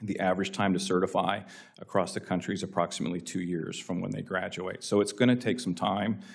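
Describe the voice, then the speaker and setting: A man's voice: deep voice, fairly even intonation, fast, creaky. An American man giving formal testimony as an agency official, with deferential, explanatory phrasing. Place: legislative hearing room.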